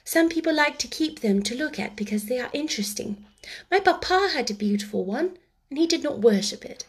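A gentle, well-bred little storybook girl, played by a woman reader. She is thoughtful and polite, speaking softly and sometimes dropping to a whisper. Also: sits high, lively intonation, fairly quick, pitched above the performer's own voice. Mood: gently reasoning. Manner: soft, polite, partly whispered.

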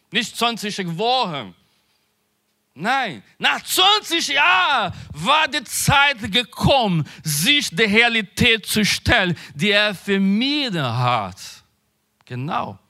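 A man preaching in a high, bright, forceful voice that swoops through a wide range. He delivers a sermon slowly and emphatically, drops into quieter asides, and often pauses mid-phrase to search for a word. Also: creaky. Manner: halting, searches for words. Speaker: pastor.

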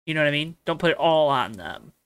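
A man's voice: a little high, very animated, fast, a bit bright, smooth, no room sound. A man co-hosting a casual racing podcast, rambling along with frequent ums and restarts. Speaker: podcast co-host. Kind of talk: casual motorsport chat.

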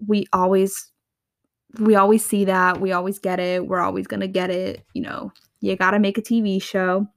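A woman's voice: mid-range voice, level tone, moderate pace, vocal fry, no room sound, studio quality. A woman hosting a casual recap podcast, chatty and gossipy, often laughing between thoughts. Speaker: podcast host.

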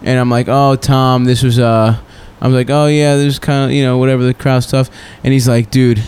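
A man's voice: mid-range voice, level tone, moderate pace, a little mellow, clean tone. A man co-hosting a comedy podcast, telling crude stories in a rambling, joking, casual way.

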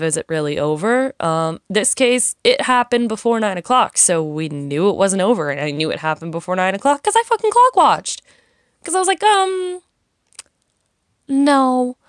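A woman's voice: medium pitch, dry recording, studio quality. A young woman talking casually and frankly, rambling and swearing as she vents. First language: English. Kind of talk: casual monologue, venting.

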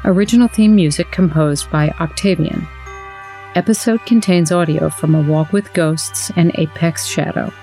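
An announcer with a mid-pitched voice reads scripted end credits for an audio drama. Music plays clearly behind the voice throughout. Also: normal speed, dark tone.

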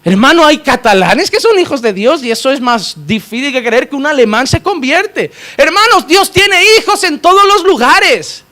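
Man, pastor, high-pitched, fiery sermon, loud and soft turns. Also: expressive, normal speed, few pauses. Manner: impassioned preaching, swings between shouting and low quiet asides.

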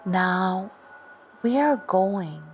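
A woman gently narrating a guided sleep meditation story for children, soothing and unhurried, with long pauses. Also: medium pitch, lively intonation. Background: background music.

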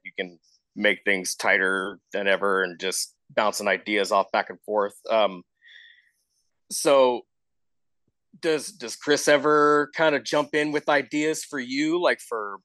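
A man's voice: mid-range voice, sharp tone, no room sound. An American man hosting a casual interview, informal and encouraging in how he asks questions. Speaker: interviewer, show co-host.

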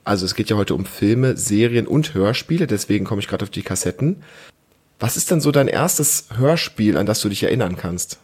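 Encouraging man with a lowish voice. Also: lively intonation, a little fast, darkish tone, breathy edge. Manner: encouraging, explains things simply to a child. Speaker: podcast host. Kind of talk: casual family chat with a child.

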